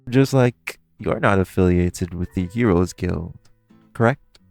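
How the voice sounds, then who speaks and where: A man's voice: low-pitched, unhurried, gravelly, a little mellow, soft background music. A man playing a flirty, teasing supervillain thief in an audio roleplay, coy and theatrical, drawing out his phrases as he talks to the listener. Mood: smooth, probing.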